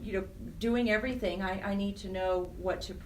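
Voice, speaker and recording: A woman's voice: mid-range voice, brisk, far from the mic, a little hiss or hum, low-quality recording. A woman speaking conversationally and earnestly as a nonprofit director being interviewed, stressing points by repeating words. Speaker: nonprofit program director, radio interview guest.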